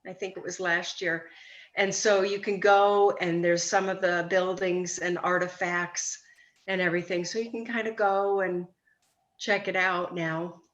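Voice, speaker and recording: Woman w lowish voice chatting on a video call, kinda unsure, dull muffled sound. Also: flat delivery, measured pace, fairly bright tone. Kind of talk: casual family chat.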